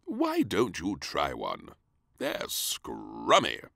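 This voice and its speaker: A man's voice: rumbling low, wildly swooping pitch, drawn-out words, gravelly, bright, forceful tone, no echo, clean studio sound, exaggerated voice. A man voicing a jolly, doting king and father in a children's storybook, hearty and exaggerated. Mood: hearty, encouraging. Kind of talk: read-aloud children's storybook.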